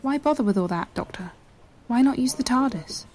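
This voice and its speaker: A woman's voice: on the high side, animated, moderate pace, darkish tone, slight background noise, lightly acted. A woman playing an eager, clever young companion in an audio drama, speaking in a pert, questioning and insistent way. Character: bright, eager, challenging young female companion.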